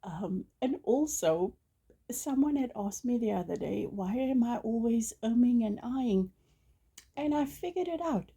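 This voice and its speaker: A woman's voice: fairly high pitch, lively intonation, unhurried, dark tone, slightly rough. A woman whose first language is Afrikaans, teaching crochet in a casual, chatty way with many ums and apologetic restarts. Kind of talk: casual tutorial talk.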